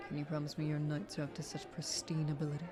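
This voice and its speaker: A woman playing a dignified, protective queen mother in an audio roleplay, with a measured, formal, regal delivery. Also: low-pitched, flat delivery, a crowd in the background, natural voice. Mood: skeptical, stern.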